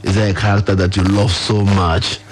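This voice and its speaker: A man's voice: fairly low pitch, little pitch movement, brisk, a little hiss or hum. A Nigerian man hosting a live radio talk show from a studio, speaking conversationally and putting questions straight to his listeners. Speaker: radio host.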